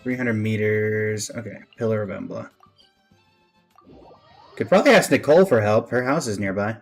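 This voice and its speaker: A man's voice: fairly low pitch, normal speed, faint music behind. A man giving casual gameplay commentary, talking to himself as he plays. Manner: talks to himself while playing. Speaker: game streamer.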